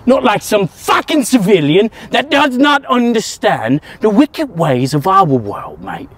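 A volatile gangster boss. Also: male voice, high-pitched, sing-song, a little slow, exaggerated voice. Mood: menacing. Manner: swearing, rambling, menacing, swings from shouting to hushed. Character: volatile London gangster boss, middle-aged man. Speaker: gang leader. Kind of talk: TV crime drama acting.

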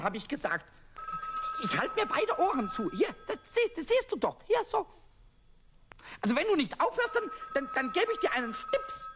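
An actor playing a small, cheeky, childlike kobold in a children's radio play, squeaky, stammering and repeating words excitedly. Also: male voice, noisy background, heavily acted. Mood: defiant, scolding. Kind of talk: 1970s German children's radio play acting.

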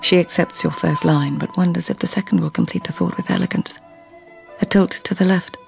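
An actress in a radio drama playing a devoted, anxious lady of the old Japanese court, with a breathy, urgent delivery. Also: lowish voice, fairly even intonation, fairly quick, darkish tone, faint music behind. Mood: hushed, careful. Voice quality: husky, breathy.

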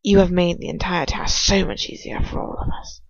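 A haughty male dark wizard from a storybook, put on by an amateur reader, commanding and curt. The voice has some fry and sits dry in a slightly dull recording. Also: medium pitch, steady tone, moderate pace, dry recording. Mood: smugly commanding. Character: cold, imperious adult male aristocratic dark wizard.

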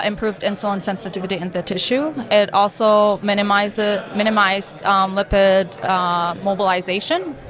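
Young woman from Lebanon, crowd behind. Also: mid-range voice. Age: 20s. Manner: many filler ums and restarts. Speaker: graduate student guest. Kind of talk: conference podcast interview. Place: conference hall booth with busy crowd behind. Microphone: table mic on stand, wearing headphones.

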